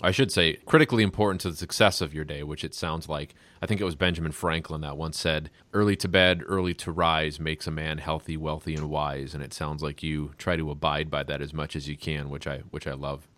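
A male podcast host with a very low but bright, forceful voice asks questions with upbeat enthusiasm. The sound is dry and close, with no room echo. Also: steady tone, fairly quick, hardly any pauses. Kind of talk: casual interview hosting.

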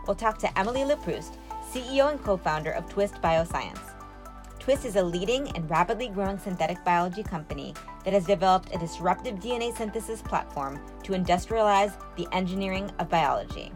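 A woman's voice: mid-range voice, lively intonation, brisk, music in the background. A woman speaking as a podcast host, introducing and interviewing a guest in a prepared style.

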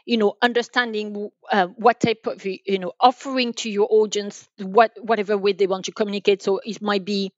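A woman giving explanatory interview answers as a podcast guest, halting and repeating words as she goes. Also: mid-range voice, steady tone, no room sound, highs cut off. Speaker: podcast guest, enterprise sales manager.

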